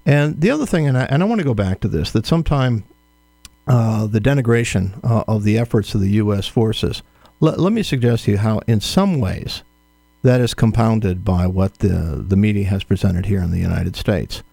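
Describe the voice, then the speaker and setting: A man answering interview questions as an author, in a measured, firm, explanatory way. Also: fairly low pitch, lively intonation, gravelly, warm tone, no room sound.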